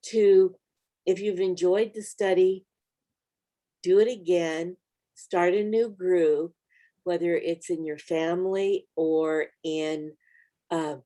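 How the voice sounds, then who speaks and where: A middle-aged woman with a somewhat low voice co-hosts a Bible study. She talks in an earnest, encouraging way and passes on practical advice in a casual conversation. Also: dark tone. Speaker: Bible study author and co-host.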